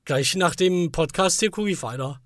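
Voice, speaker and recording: A man's voice: high voice, moderate pace, a bit bright, no echo, studio quality. A man co-hosting a podcast, chatting casually and jokingly in short colloquial remarks. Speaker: podcast co-host. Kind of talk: casual chat.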